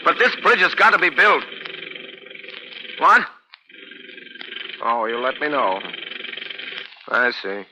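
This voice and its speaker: An actor in a 1940s radio drama playing an earnest, harried bridge-building boss with an emphatic delivery. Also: male voice, a little high, very animated, slow, pauses often, noisy background. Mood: weary frustration. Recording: old 1940s radio recording.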